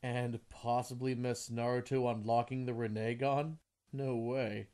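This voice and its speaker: Indifferent geeky father man acting, resonant and monotone, deadpan delivery for parody animation. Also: mid-range voice, a little slow, natural voice. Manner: deadpan, dismissive. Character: anime-obsessed, indifferent father.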